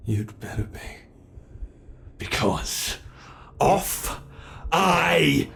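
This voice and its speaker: A British man voicing a teasing, predatory male monster who holds the listener captive, speaking slowly and mockingly in an ASMR horror roleplay. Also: fairly high pitch, lively intonation, vocal fry, fairly bright tone, a little hiss or hum, exaggerated voice. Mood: taunting. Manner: slow, taunting, toying with the listener.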